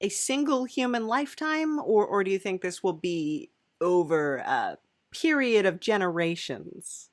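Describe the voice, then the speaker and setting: A woman's voice: medium pitch, big pitch swings, a little slow, no echo. Playful woman hosting, dry close sound. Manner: playful, wry, chuckling reactions. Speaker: podcast host. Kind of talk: improvised comedy interview.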